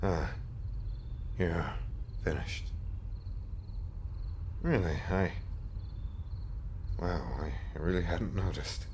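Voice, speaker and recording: A man playing a devoted zombie husband in an intimate roleplay audio drama, speaking in a breathy, halting, wryly romantic and eloquent way. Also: low-pitched, a little hiss or hum, exaggerated voice. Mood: amused, surprised.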